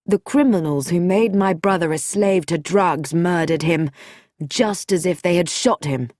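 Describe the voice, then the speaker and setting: A woman voicing a resolute young man who speaks in a firm, quietly angry way. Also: fairly low pitch, unhurried, no room sound, studio quality, lightly acted. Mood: grim, resolute.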